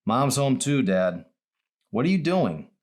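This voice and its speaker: Man voicing earnest teenage girl, lifted pitch. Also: big pitch swings, slow pace. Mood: upset, pleading. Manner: earnest, pleading. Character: teenage girl, caring, upset and pleading.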